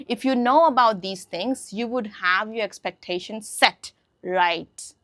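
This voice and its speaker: A woman's voice: mid-range voice, a bit bright, dry recording. A woman whose first language is Hindi gives a casual English lesson, often checking in with her listeners. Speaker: English teacher. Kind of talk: casual spoken lesson to camera.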